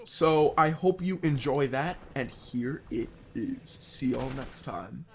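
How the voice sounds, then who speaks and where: A young man telling a casual, unscripted story in a rambling, halting way, often rephrasing himself as he goes. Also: medium pitch, a little mellow. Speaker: online video storyteller.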